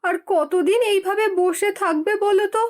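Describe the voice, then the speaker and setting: A woman voicing a supportive, practical village wife encouraging her husband, in an animated moral tale. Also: very high voice, unhurried.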